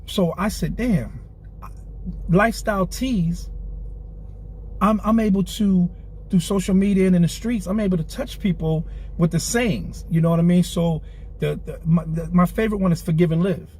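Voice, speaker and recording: A man's voice: sits high, moderate pace, a little mellow, slight background noise, low-quality recording. A man in his forties talking in a candid interview in a car, earnest and streetwise, often repeating himself. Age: 40s. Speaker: clothing brand founder, guest. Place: inside a car.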